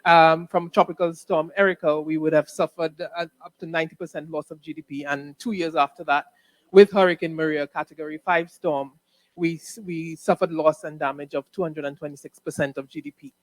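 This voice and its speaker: A man with a Dominican Caribbean accent delivers formal remarks on a panel. His voice sits a little high for a man and his phrasing is measured and deliberate, captured with a dry, echo-free sound. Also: steady tone. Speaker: ambassador, panel speaker.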